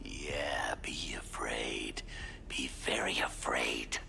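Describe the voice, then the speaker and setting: An actor playing a gleefully menacing masked slasher killer in a video game, gravelly and teasing, drawing out his words. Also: rumbling low, animated, pushed and bright, exaggerated voice. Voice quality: gravelly, breathy at times. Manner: sinister, teasing, drawn-out delivery. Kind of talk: video game villain acting.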